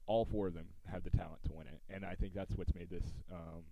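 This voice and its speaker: A man with a low, mellow American voice hosts a casual sports podcast. He sounds close and dry, with a slightly dull recording that takes the edge off his words. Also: fairly even intonation, fairly quick, vocal fry, no echo. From United States.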